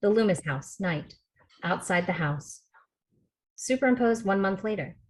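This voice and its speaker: A woman's voice: medium pitch, animated, unhurried. A woman reading stage directions as the narrator at a film script table read. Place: remote video call rehearsal.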